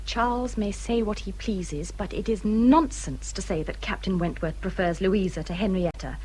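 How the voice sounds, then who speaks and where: An actress playing a peevish, self-pitying young married gentlewoman in a period drama, with an English accent and a fretful, self-important manner. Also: mid-range voice, normal speed, slight background noise, close to an everyday voice, low-quality recording. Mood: fussy, insistent. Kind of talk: British period radio drama acting.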